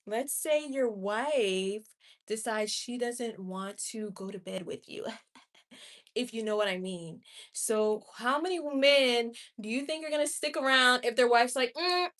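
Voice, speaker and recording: A woman's voice: on the high side, slow pace, a bit bright. A woman in her late twenties chatting candidly and with strong opinions on a livestream, answering her viewers' comments directly. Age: 20s. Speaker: livestream host.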